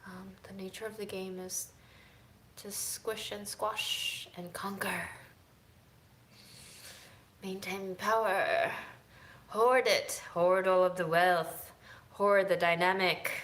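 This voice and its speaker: A tired woman vlogging casually to camera, musing in a drifting way that moves between soft and emphatic. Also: mid-range voice, steady tone, takes it slowly, long pauses, echoey room. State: fatigued. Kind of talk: casual reflective chat to camera.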